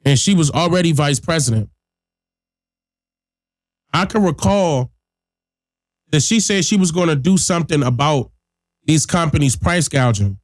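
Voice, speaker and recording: A man's voice: a little high, expressive, unhurried, pauses often, no room sound. A man hosting a podcast, giving blunt, emphatic casual commentary straight to his listeners while getting over a cold that leaves him stuffy-nosed. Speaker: podcast host.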